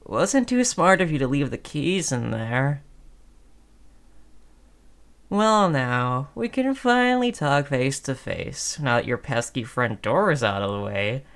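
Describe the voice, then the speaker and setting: Audio roleplay zombie, played by a voice performer: affable, wheedling undead pal, slangy sales patter, mid-pitched, slow delivery. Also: a bit bright, heavily acted. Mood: gloating. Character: friendly, wheedling, chatty undead zombie coaxing a survivor. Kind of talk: scripted audio roleplay monologue.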